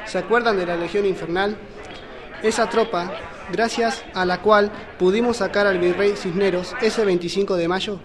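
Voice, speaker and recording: Young man acting earnest revolutionary politician, high voice, amateur radio drama, crowd bustle behind. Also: fairly even intonation, normal speed, natural voice. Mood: glad. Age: teenager or young adult. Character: Mariano Moreno, earnest, suspicious revolutionary politician. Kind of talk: amateur student radio play. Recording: busy crowd noise behind voice.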